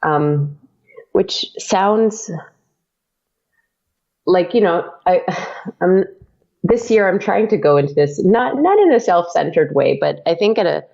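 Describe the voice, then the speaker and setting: Gravelly young woman, giggly chat. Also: lowish voice, expressive, slow pace, long pauses, a little mellow. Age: young adult. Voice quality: laughs often, trails off with fillers. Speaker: guest, audio drama podcast creator and actor. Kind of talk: casual interview chat. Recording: dull lo-fi sound.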